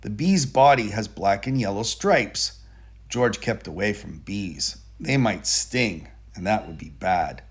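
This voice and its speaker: Male children's book reader, expressive and animated, unhurried pace, slightly husky tone. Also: lowish voice. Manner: deliberate, expressive storytelling for young children. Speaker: storybook reader. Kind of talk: children's picture book read-aloud.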